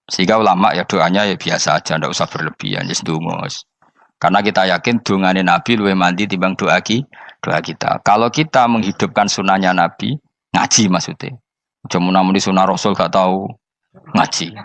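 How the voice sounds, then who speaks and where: A man's voice: fairly low pitch, fairly bright tone, no room sound. Javanese-accented man preaching, dry close sound. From Indonesia. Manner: conversational, didactic, repeats points and poses questions to listeners. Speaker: Islamic preacher and teacher. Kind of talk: informal religious lecture.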